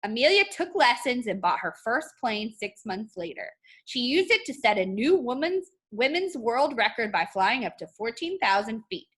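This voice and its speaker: Bright, lively high-voiced woman reading aloud. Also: moderate pace, husky. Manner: expressive, instructive, addressing young students. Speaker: art teacher. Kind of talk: children's picture-book read-aloud.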